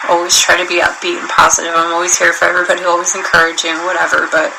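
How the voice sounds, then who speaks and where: Earnest gravelly-voiced woman radio host. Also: on the low side, monotone, rapid-fire, noisy background. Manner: earnest, heartfelt. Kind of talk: live internet radio talk show.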